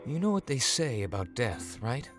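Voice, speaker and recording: Man voicing thoughtful male fantasy creature, mid pitch, grave, measured, apologetic, game dialogue over music. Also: sing-song, close to an everyday voice. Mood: musing. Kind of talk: visual novel voice acting. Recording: music behind.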